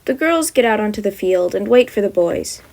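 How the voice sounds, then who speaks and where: A woman's voice: medium pitch, lively intonation, normal speed, gravelly, a little mellow. A young woman narrating her own fan story as a podfic, then chatting in a rambling, upbeat way with frequent restarts. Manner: rambling, self-correcting, upbeat. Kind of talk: podfic narration and casual chat.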